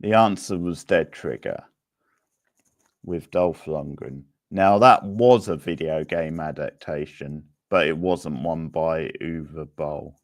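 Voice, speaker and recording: A man with a deep voice hosts an informal quiz. He takes his time, rambling casually and thinking out loud. The sound is dry, with very little room to it. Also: takes it slowly, no room sound. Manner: chatty, rambling, thinking aloud. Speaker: quiz host. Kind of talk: casual live quiz hosting.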